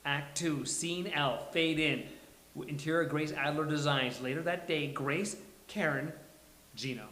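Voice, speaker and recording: A man's voice: fairly high pitch, measured pace, sharp tone. A man reading stage directions as the host of a live table read. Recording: roomy live recording.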